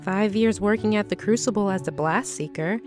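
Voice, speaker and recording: A woman's voice: medium pitch, moderate pace, darkish tone, close to an everyday voice. A woman playing a blunt, no-nonsense young hireling in a fantasy audio drama, speaking curtly and straightforwardly. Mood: guarded, businesslike. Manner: curt, straightforward. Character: slight, blunt, no-nonsense female fantasy lab worker for hire. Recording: music bed behind the voice.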